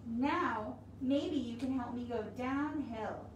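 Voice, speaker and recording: A woman reads aloud as a friendly, excitable storybook bunny with a high voice. It chats politely to the reader, heard from a distance in a roomy space. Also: slow pace. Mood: polite, pleased. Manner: polite, chatty, addressing the reader directly. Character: friendly, excitable winter rabbit in a picture book. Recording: roomy, distant mic, clear background noise.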